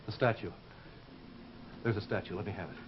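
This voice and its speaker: An actor playing a troubled, insistent sculptor in an old-time radio drama, with an earnest, intense delivery. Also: male voice, on the low side, a little fast, background noise, close to an everyday voice. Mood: urgent pleading. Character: troubled, insistent male sculptor haunted by a dream. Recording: muffled old radio recording, loud music behind.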